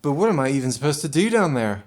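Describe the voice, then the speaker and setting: A man's voice: a little high, very animated, fast. A man playing a nervous, reluctant young technician in an audio drama, hesitant and easily exasperated.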